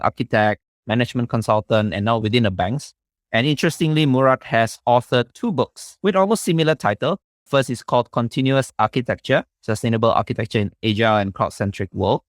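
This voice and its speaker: A male podcast host with a middle-pitched voice gives scripted introductions and sponsor reads. His delivery is upbeat and polished. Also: dry recording, studio quality.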